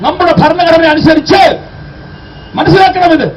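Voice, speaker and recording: A man's voice: very high voice, steady tone, normal speed, muffled recording. A man giving an impassioned public address, exhorting listeners with rhetorical questions in a declamatory manner. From Kerala, India. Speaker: activist, public speaker. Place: outdoor street rally. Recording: distant mic, outdoor street noise.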